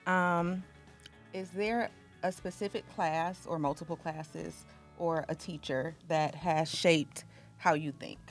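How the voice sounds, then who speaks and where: A middle-aged woman, an assistant principal, casually interviewing a high school student. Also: steady tone, takes it slowly, faint music behind.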